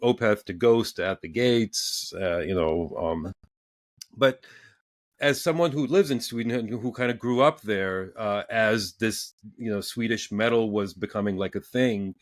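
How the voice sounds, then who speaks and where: A man in his forties hosting a casual podcast interview, talking in halting bursts with many restarts and repeated words. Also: on the low side, dry recording, studio quality. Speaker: podcast host.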